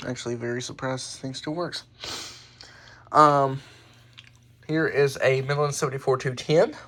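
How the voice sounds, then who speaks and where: A man presenting his hobby collection in a casual, unscripted way, halting and often correcting himself as he goes. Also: medium pitch, steady tone, fairly bright tone. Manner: halting, offhand, self-correcting. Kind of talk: casual unscripted show-and-tell.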